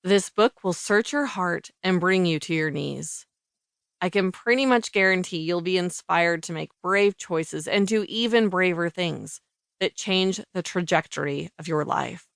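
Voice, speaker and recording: A woman's voice: fairly low pitch, unhurried, gravelly, smooth, dry recording, studio quality. A woman reading scripted audiobook narration.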